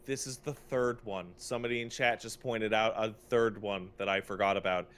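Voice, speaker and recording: A man's voice: on the low side, fairly even intonation, normal speed, a bit bright, smooth. A man co-hosting a podcast, ranting casually and comedically about games, exasperated and emphatic, stretching words for effect. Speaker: podcast co-host and game streamer.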